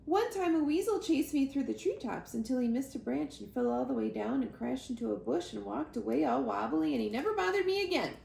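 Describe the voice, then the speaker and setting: A woman voicing a young, nervous, excitable female squirrel who rambles breathlessly in a children's storybook. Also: high-pitched, a little fast, few pauses, heavily acted. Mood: excited. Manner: rambling, breathless run-on chatter. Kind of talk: children's storybook read-aloud. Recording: roomy echo, clear background noise.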